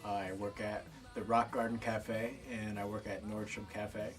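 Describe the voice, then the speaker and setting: A man in his twenties from Connecticut talks about his band in a laid-back way, pausing on "um" often. His voice sits a bit low, the mic is some distance off, and soft music plays behind him. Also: flat delivery. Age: 20s. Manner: laid-back and hesitant, many "um"s. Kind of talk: casual documentary interview.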